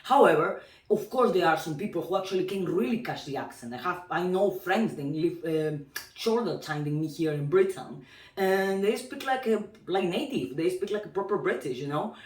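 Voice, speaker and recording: A woman's voice: fairly low pitch, expressive, normal speed, far from the mic. Peruvian-accented woman in a roomy space. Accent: Peruvian Spanish. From Peru. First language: Spanish. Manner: chatty, many fillers and restarts, frequent laughs. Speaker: Spanish teacher. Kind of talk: casual talk to camera.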